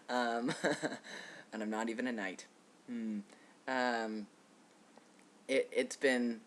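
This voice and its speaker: Relaxed man in his thirties, reflective. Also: mid-range voice, slight background noise. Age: 30s. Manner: relaxed, reflective, with frequent fillers and soft laughs. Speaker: vlogger. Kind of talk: casual personal video update.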